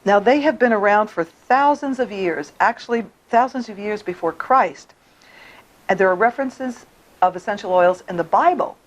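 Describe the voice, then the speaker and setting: A woman hosting an interview show, hoarse today, chatty and quick to laugh. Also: medium pitch, poor audio. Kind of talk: casual interview.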